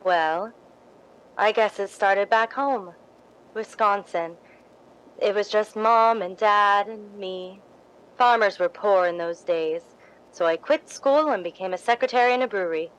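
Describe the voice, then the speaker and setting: A woman's voice: medium pitch, a little slow, clean tone, close to an everyday voice, low-quality recording. A woman as a humble, wistful young theater devotee. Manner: modest, hesitant, wistful storytelling. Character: soft-spoken, earnest, seemingly humble young female theater devotee. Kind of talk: recreated 1950s radio drama acting.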